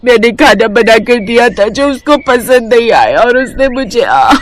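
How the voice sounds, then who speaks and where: A woman acting a sharp-tongued older mother-in-law. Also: on the high side, expressive, measured pace, voice pushed up. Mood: tearful remorse. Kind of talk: dramatized Hindi moral story.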